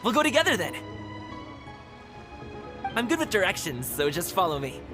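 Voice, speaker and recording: A man's voice: high-pitched, huge pitch swings, moderate pace. An actor playing a cheerful, generous young male guard in a video game, who laughs often and talks in an easygoing way. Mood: friendly. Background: game music.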